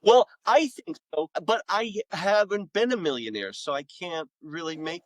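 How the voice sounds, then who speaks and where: A man's voice: sits high, animated, normal speed, no echo. A middle-aged man speaking frankly and self-deprecatingly in a conversational podcast interview as a guest author.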